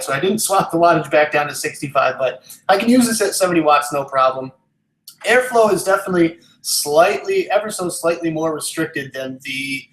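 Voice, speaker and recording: A man's voice: a little high, a bit bright, echoey room, rough recording. A man in his twenties hosting a live stream, chatting casually and answering viewers as he rambles and thinks aloud. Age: 20s. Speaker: live stream host, vape product reviewer.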